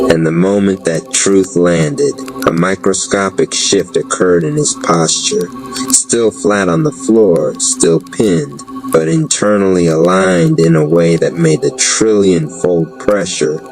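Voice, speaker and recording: A man giving a scripted, solemn and measured spoken-word narration of dark fantasy, with dramatic phrasing. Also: low voice, gravelly. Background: lofi background music.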